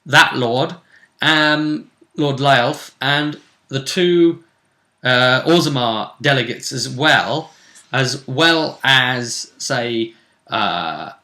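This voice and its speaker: Man, game master host, bright forward voice, roomy echo, casual recap talk. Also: medium pitch, extremely slow. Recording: roomy, echoey sound.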